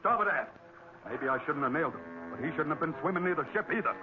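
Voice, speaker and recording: An actor playing a gruff, brisk first mate barking deck orders in a 1940s radio drama. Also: male voice, a little high, expressive, noisy background, close to an everyday voice. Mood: urgent. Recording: dull old radio recording with music.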